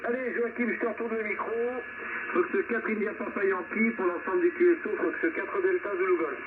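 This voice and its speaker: A man's voice: high-pitched, steady tone, a little fast. A man chatting casually as he runs an amateur radio net over the air. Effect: ham radio transmission. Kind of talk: casual radio chat among ham operators. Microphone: radio transceiver microphone. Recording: narrow-band shortwave radio, loud static hiss.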